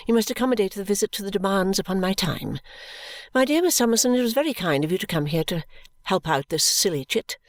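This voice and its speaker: A woman reader voicing a middle-aged mother who answers her daughter in a calm, clear, complacent and coolly dismissive way. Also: medium pitch, fast talker, dry recording, lightly acted. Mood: weary brisk.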